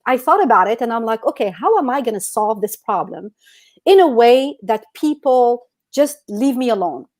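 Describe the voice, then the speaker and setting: A woman's voice: on the high side, animated, moderate pace, a little mellow. A woman co-hosting a podcast, telling personal stories in a casual, emphatic, conversational way full of "you know". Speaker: podcast co-host.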